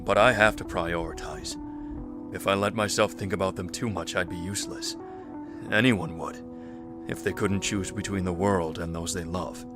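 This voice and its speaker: A man playing a practical, controlled survivor leader in an audio drama, speaking in a measured, formal way. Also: low-pitched, steady tone, music in the background, lightly acted. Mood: grave, resolute. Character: practical, controlled male survivor leader, burdened but steady.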